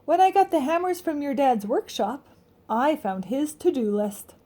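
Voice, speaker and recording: A woman reading aloud as a cheerful, eager, literal-minded housekeeper in a children's storybook, brisk and matter-of-fact. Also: high voice, expressive, dark tone, pitched above the performer's own voice.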